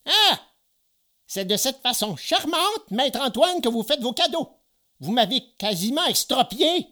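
A storyteller voicing a touchy, excitable little old man in a children's storybook tale, quick to indignation. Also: mid-range voice, pitched above the performer's own voice, exaggerated voice. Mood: indignant, furious. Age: older. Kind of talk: storybook character voice.

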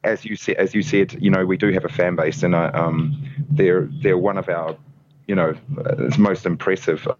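Man, New Zealand accent, low voice, hesitant, many fillers, dull line, faint hiss. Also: fairly even intonation, moderate pace. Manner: hesitant, many "um"s, soft laughs. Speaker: guest musician. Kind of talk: casual interview.

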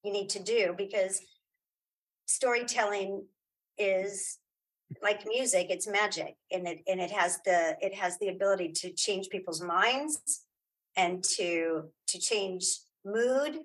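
A woman's voice: medium pitch, slow pace, husky, rough recording. A middle-aged woman chatting casually as an interview guest, effusive and emphatic, often repeating her phrases. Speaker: interview guest, audio drama creator and filmmaker.

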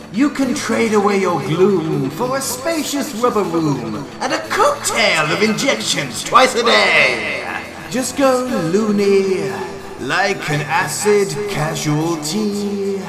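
A gleeful, insane clown villain for an audio drama. He taunts in a theatrical sing-song, stretching words slowly and leaping in pitch, in an echoing space. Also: heavily acted. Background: loud music.

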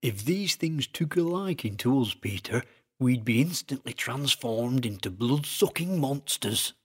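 A man's voice: mid-range voice, lively intonation, measured pace, slightly rough, voice pushed up. Man doing a broad-voiced Lancastrian man. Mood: earnest explaining. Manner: broad, earnest explaining tone.

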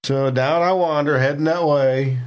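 A man's voice: on the high side, steady tone, moderate pace, slight background noise. A man playing a tabletop role-playing game, describing his character in a chatty, filler-heavy way. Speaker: player. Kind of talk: casual tabletop game chat.

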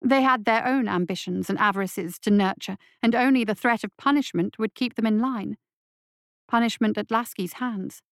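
A woman narrating a dark fantasy story in a scripted, dramatic audiobook reading style. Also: on the high side, normal speed, no room sound, clean studio sound. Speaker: story reader.

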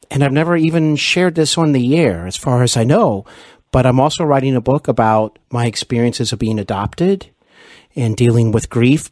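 A man's voice: medium pitch, a little mellow, no room sound. Casting: male interviewer, mid-range voice, supportive and chatty, recorded dry and close. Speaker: podcast interview host. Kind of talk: conversational interview.

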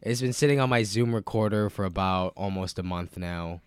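Man, very low voice, nasal, sick with a cold, podcast host, rambling casual chat, swears. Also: moderate pace, smooth, no echo. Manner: loose, rambling and profane, with many fillers.

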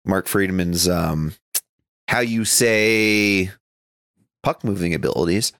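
A man's voice: deep voice, slow pace, fairly bright tone, clear, resonant tone, no room sound, clean studio sound. A man co-hosting a podcast, chatting casually about sports in a rambling, conversational way. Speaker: podcast co-host.

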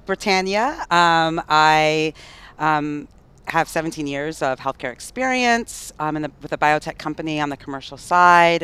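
A man's voice: on the high side, pushed and bright, clear, resonant tone. A man co-hosting a podcast, introducing himself hesitantly with ums. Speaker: co-host. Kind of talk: self-introduction.

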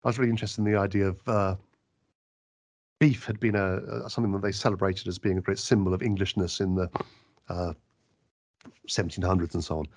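A man who works as a radio interviewer, answering questions in an animated, emphatic way with frequent restarts. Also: low-pitched, quick pace, long pauses.

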